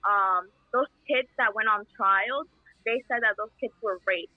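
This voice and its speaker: A woman's voice: mid-range voice, no echo. Young woman on a tinny phone line. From El Salvador. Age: young adult. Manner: impassioned, halting with fillers. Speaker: interview guest. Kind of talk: earnest conversational answers.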